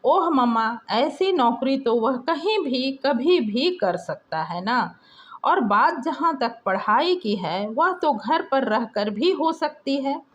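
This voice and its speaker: A woman storyteller acts out an ambitious young man in an audio story, a son who smoothly excuses himself. The delivery is unhurried and varied in pitch. The recording is a bit dull. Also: animated. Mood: evasive, assured. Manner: smooth, reassuring, self-excusing. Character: ambitious self-centred young man, evasive eldest son.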